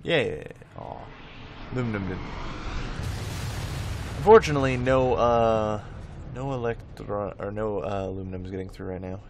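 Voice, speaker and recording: A man's voice: fairly low pitch, steady tone, normal speed, pauses often, background noise. A man giving casual gameplay commentary, thinking aloud in a rambling way and often correcting himself. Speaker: host of a gameplay video.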